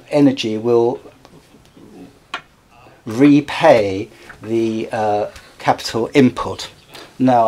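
A man interpreting a conference talk live, speaking haltingly and often correcting himself as he searches for words. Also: mid-range voice, a little mellow. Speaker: simultaneous interpreter.

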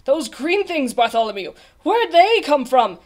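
A woman voicing a blustery, excitable male royal trumpeter in a storybook, loud and exclamatory. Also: fairly high pitch, unhurried. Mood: sleepy bewilderment. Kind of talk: storybook character voice.

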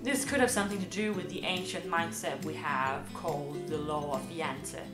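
A woman's voice: low-pitched, steady tone, normal speed. Woman, Danish accent, twenties, joking vlogger, roomy echo, guitar music behind. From Denmark. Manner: playful and joking. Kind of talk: scripted vlog monologue. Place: small room indoors. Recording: echoey room with background guitar music.

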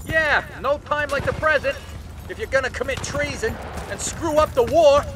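An actor playing a sarcastic, anxious young soldier who wisecracks through a video game. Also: male voice, very high-pitched, normal speed. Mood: wry, uneasy. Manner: sarcastic, wry. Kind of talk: video game character dialogue. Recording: noisy battlefield ambience and vehicles. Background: battle ambience, vehicles.

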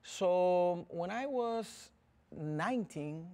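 A man in his forties from the Dominican Republic with a Dominican Spanish accent, a TV interview guest who speaks haltingly and restarts phrases. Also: sits high, animated, warm tone. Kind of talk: interview conversation. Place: TV studio set. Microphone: lapel.

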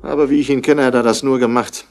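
A man's voice: mid-range voice, fairly even intonation, fast talker, lightly acted. An actor playing a brusque, self-important Australian businessman in a 1960s German radio crime drama. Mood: indignant, disapproving. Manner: clipped, self-important, indignant. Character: brusque, busy rich Australian businessman, wool merchant's son.

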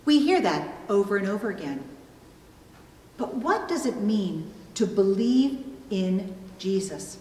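A middle-aged woman pastor delivering a sermon in a measured, deliberate way, recorded in a church room. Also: mid-range voice, sing-song, darkish tone. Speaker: pastor preaching. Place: indoor church room at a pulpit. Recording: echoey hall, long reverb, distant mic.

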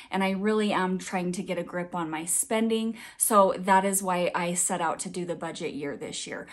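A woman in her thirties talks through her clothing budget in a chatty, upbeat, confessional way. Her voice is still a bit raspy and scratchy from a recent illness, and her pitch sits in a middle range. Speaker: fashion vlogger. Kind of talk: casual budget update vlog.

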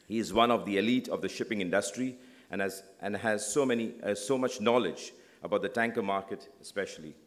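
A man hosting a conference formally introduces the keynote speaker on stage in a large hall, with measured, polished delivery. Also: fairly low pitch. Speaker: conference host. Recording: long hall reverb.

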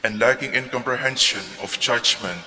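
A man reciting psalms aloud in a measured, steady way during a prayer service. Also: mid-range voice. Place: large church. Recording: reverberant church hall.

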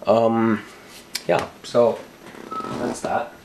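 A man's voice: medium pitch, flat delivery, drawn-out words, creaky, a little mellow, slight background noise, rough recording. Young man rambling with a cold. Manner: rambling, filler-heavy, self-correcting. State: recovering from a cold. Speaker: tech vlogger. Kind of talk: casual show-and-tell. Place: bedroom desk, indoors.

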